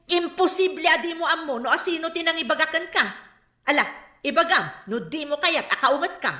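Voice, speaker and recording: A woman's voice: high voice, animated, brisk, pushed and bright, echoey room. An actress playing a quarrelsome, nagging mother with a hot temper and an Ilocano accent in a radio comedy drama. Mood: demanding. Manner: confrontational, nagging, demanding.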